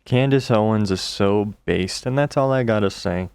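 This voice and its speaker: A man hosting a reaction commentary video, talking casually in a deep, monotone voice. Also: moderate pace, heavy background noise. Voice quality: deep and monotone from just waking. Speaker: commentary channel host.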